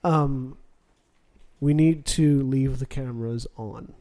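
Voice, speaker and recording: A man's voice: medium pitch, slow pace, warm tone. A man playing a tabletop game, planning eagerly and often restarting his phrases. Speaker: player.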